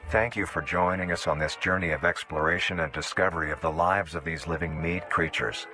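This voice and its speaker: A low-voiced man narrates a documentary from a script. His delivery is formal and grandiose, with music playing clearly behind him. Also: steady tone, brisk.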